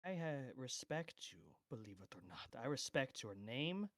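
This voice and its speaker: A man voicing an arrogant, smug knight with boundless ambition, speaking in a deliberate, theatrical way. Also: medium pitch, big pitch swings, a bit bright, no echo, studio quality. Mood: condescending, grandiose.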